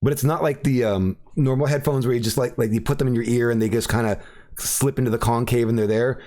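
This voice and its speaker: Rambling casual man, mid-pitched. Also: steady tone, fast, mellow. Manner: rambling, swears, lots of fillers and restarts. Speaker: podcast host. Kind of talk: casual chat.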